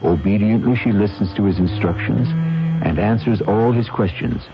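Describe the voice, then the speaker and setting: A man's voice: on the low side, moderate pace, slight background noise. A man narrating a scripted radio mystery drama. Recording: dull old radio recording. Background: music.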